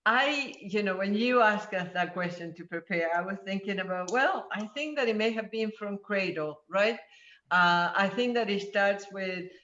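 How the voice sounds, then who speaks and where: Brief: Argentinian woman panelist in her fifties, animated storyteller, dull, echoey audio. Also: mid-range voice, moderate pace. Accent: Argentinian Spanish. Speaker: panelist, corporate sustainability executive. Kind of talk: panel discussion answer. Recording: echoey, muffled.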